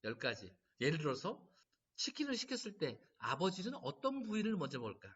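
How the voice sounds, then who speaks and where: A man's voice: a little high, fairly quick, slightly rough. A middle-aged man giving a laughter therapy lecture to caregivers, telling stories and acting out quotes. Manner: lively storytelling, animated quoting. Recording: distant mic, dull lo-fi sound.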